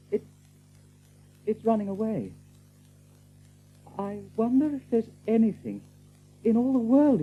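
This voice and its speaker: A male actor playing a small, eager young rabbit, plucky but anxious, halting and repeating himself. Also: sits high. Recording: tinny narrow-band audio.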